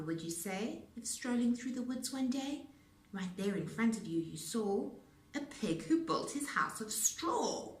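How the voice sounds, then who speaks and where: A woman storyteller reading children's rhymes aloud in a theatrical, rhythmic, deliberate manner. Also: medium pitch. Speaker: storyteller, speech-language pathologist. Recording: roomy echo, distant mic.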